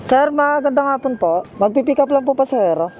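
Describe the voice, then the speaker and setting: A high-pitched Filipino man talks casually into a helmet mic out on the road. He is polite and jokey, narrating his motorcycle taxi rides, with music playing clearly behind him. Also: animated, fairly quick, phone-quality sound. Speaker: motorcycle taxi rider and vlogger. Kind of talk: casual ride vlog chat. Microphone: helmet intercom microphone.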